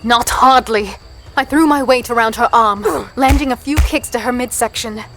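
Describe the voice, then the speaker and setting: A plucky, spirited female fighter, narrating and sparring in a fantasy audio drama. Her voice is on the high side, earnest and feisty. Soft music runs faintly underneath. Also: normal speed. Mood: feisty banter. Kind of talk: first-person dramatic narration and dialogue.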